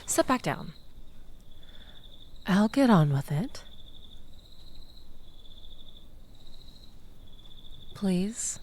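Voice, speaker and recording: A woman's voice: medium pitch, very animated, slow, a little mellow. A woman playing a manipulative, flirtatious ex-lover in an intimate audio roleplay, languid and teasing, coaxing the listener with theatrical pleading.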